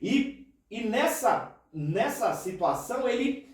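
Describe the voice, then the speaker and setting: A man's voice: very high-pitched, expressive, slow pace, very echoey, far from the mic. A man from Paraná with a São Paulo city accent, a pastor telling his story in a casual podcast interview, earnest and chatty, tagging phrases with "né". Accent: São Paulo city Portuguese. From Brazil, Paraná state. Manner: earnest, chatty, frequent "né" tags.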